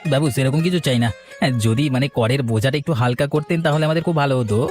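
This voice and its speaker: A man's voice: medium pitch, quick pace, darkish tone, faint music behind, lightly acted. A man playing a humble, deferential villager pleading with his master in a drama. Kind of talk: melodramatic village drama dialogue.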